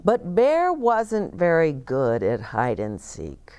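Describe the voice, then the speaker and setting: Older woman reading stories very slowly. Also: low-pitched, huge pitch swings, darkish tone, smooth. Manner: deliberate, dramatic phrasing with pauses. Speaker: children's story reader and host. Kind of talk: expressive storybook read-aloud. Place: small decorated set, indoors, seated.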